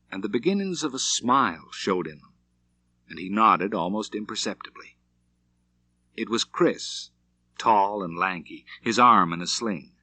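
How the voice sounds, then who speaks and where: A man narrating a western novel as an audiobook, with measured, deliberate, dramatic delivery. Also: on the low side, pushed and bright, no room sound.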